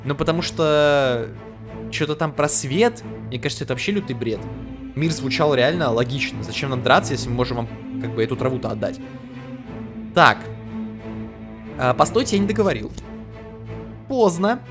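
A man's voice: mid-range voice, expressive, normal speed, pauses often. Slangy man reading game text. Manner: slangy, reads game text aloud, reacts loosely. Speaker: let's play host. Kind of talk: casual gameplay commentary. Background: game music.

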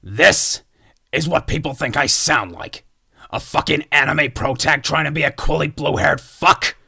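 A man's voice: a little high, unhurried, creaky, pushed and bright, husky, dry recording, clean studio sound, exaggerated voice. A man voicing a snarky, foul-mouthed ginger schoolboy gunslinger in a video game, cocky and slangy yet caring. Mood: indignant. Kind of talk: video game character voice acting.

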